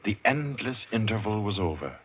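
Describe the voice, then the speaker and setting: An actor as the grave narrator of a 1940s radio mystery, telling the tale in a measured, dramatic, literary way. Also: male voice, lowish voice, very animated, breathy edge, exaggerated voice. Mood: grave suspense. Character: grave male storyteller of a suspense tale. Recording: vintage radio recording under loud music.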